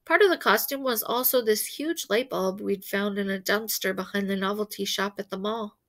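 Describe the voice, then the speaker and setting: A woman reading a children's novel aloud as an expressive storyteller who acts out the dialogue. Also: medium pitch, moderate pace. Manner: animated, acts out characters' dialogue.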